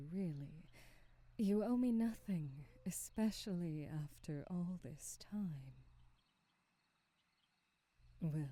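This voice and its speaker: Wistful, gentle witch for ASMR roleplay, female performer: lowish, very soft and dark, breathy whispering, motherly, old-fashioned wording. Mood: shy, tender. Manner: slow, tender, old-fashioned formal phrasing.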